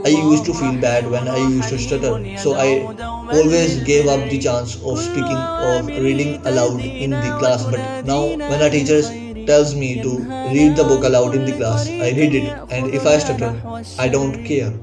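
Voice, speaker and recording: Young man, earnest casual advice, mic set back, nasheed vocals clearly under him. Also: mid-range voice, fairly even intonation, moderate pace. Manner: earnest, repeats "bro" and "like", small restarts. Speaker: student giving self-help advice. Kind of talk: casual advice talk to camera. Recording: distant mic with clear background music.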